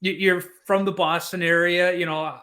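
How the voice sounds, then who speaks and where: A man's voice: sits high, flat delivery, normal speed, pushed and bright. A middle-aged man from Chicago hosting a casual podcast, chatty and rambling with restarts. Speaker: podcast host.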